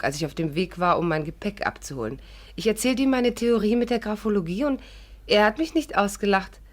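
An actress plays a clever, polite amateur sleuth with a voice of medium pitch. She is earnest and articulate, in the style of a 1950s German radio play. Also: lightly acted. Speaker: heroine and narrator.

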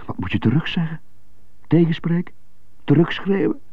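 Brooding stuttering prisoner, radio play, muttered self-questioning, lively intonation, narrow muffled sound. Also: male voice, a little high, normal speed, husky, dry recording. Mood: agitated, indignant. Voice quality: stutter on some words. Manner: muttering, self-questioning monologue in short bursts. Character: jazz-loving stuttering prisoner, brooding and indignant. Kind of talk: radio drama monologue. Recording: muffled narrow phone-like sound, music behind.

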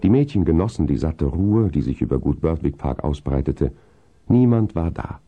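A man narrating a children's radio play in an unhurried, atmospheric storytelling style, on a dull vinyl transfer. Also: very deep voice, big pitch swings, faint music behind.

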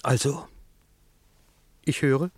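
A man's voice: mid-range voice, steady tone, drawn-out words, husky, no echo. An actor playing a proud, guarded wealthy father with a guilty secret, curt and formal in a radio drama. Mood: cold, guarded. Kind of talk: German radio drama acting.

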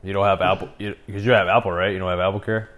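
Guy in his 20s from the us, lowish voice, laid back slangy chitchat. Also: little pitch movement, racing, fairly bright tone. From United States. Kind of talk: casual chat.

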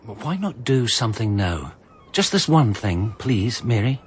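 An earnest, urgent protector. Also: male voice, medium pitch, big pitch swings, a little slow, very noisy. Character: earnest protective man, determined to help. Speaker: McWhirter, amateur investigator. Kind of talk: BBC radio drama acting.

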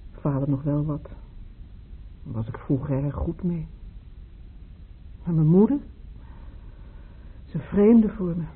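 An actress playing a troubled patient in a radio play, confiding haltingly and searching for words. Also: female voice, lowish voice, husky, full character voice. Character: troubled female patient confiding haltingly about her parents. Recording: muffled phone-like radio play, noisy.

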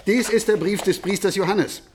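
An actor playing a dramatic, official imperial chancellor in a radio drama, formal and declamatory. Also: male voice, high voice, moderate pace, slight background noise, close to an everyday voice. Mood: grave, insistent.

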